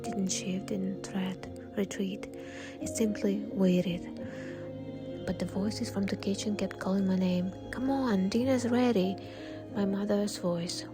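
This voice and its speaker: A woman narrating a horror story in a breathy, unvoiced whisper. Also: medium pitch. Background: soft music.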